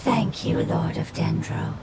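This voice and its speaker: A woman playing a solemn, sorrowful forest spirit in a video game, measured and formal with a breathy voice. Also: sits low, very noisy, heavily acted. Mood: gentle, grateful. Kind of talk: video game character dialogue.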